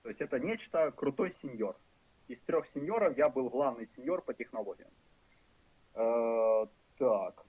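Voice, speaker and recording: A man in his thirties with a Ukrainian accent lectures in a frank, wry manner, rambling loosely and leaning on fillers. His voice sits at a middling pitch. The recording is dull and lo-fi but dry, with no room sound. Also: animated, a little slow, breathy edge, dry recording. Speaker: programming lecturer. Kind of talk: conversational lecture. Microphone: voice-over for a screen recording.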